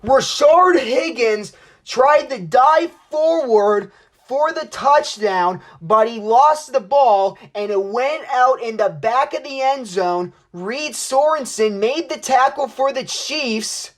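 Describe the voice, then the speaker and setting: A man's voice: very high voice, expressive, slow pace. A young man giving play-by-play football commentary as a sportscaster. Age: young adult.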